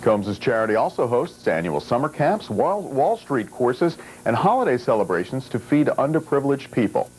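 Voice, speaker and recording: A man's voice: mid-range voice, sing-song, moderate pace, a little mellow, slightly rough. A man reading a scripted television news report as a reporter's voice-over. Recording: slightly noisy old TV news tape.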